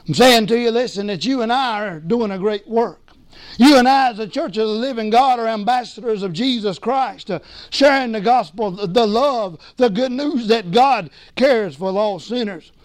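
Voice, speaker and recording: Hoarse preacher, high voice. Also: male voice, moderate pace, dry recording. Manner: emphatic call-and-response preaching. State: losing his voice, hoarse. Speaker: pastor. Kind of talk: sermon. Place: church sanctuary.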